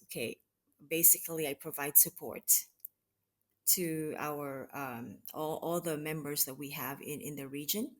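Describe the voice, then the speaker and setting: A woman from the Philippines answering interview questions in an unhurried way, pausing often with fillers and restarts. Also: sits low. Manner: hesitant, many restarts and fillers. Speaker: guest, mission associate regional director. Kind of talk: conversational interview.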